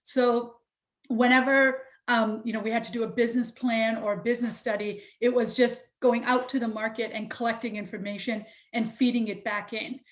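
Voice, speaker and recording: This is a woman with a fairly high voice, answering a panel question. She speaks in a chatty, fluent way. The sound comes over a video call. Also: flat delivery, normal speed. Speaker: panel guest, entrepreneur. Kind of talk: conversational answer.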